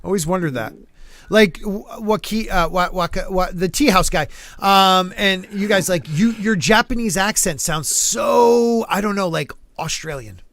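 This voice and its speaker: Streaming host, male, high voice, chatty, rambling, jokey. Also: unhurried, vocal fry, fairly bright tone, no echo. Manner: rambling, joking, lots of fillers and restarts. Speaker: artist streaming live. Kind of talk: casual chat during a live stream.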